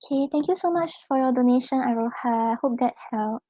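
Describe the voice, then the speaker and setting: A young Singaporean woman giving a live-stream tarot reading, offering gentle reassuring advice with casual fillers. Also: sits high, brisk, low-quality recording. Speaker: tarot reader.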